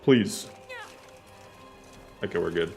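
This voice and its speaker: A man's voice: low-pitched, takes it slowly, creaky, faint music behind. A man giving casual, joking gameplay commentary, rambling and self-deprecating. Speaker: game streamer.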